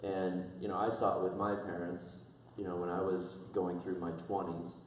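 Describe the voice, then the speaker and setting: A man in his thirties hosting the interview, asking measured questions and affirming his guests. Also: sits low, little pitch movement, slight background noise. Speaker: interviewer, podcast host. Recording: very echoey room from a distance.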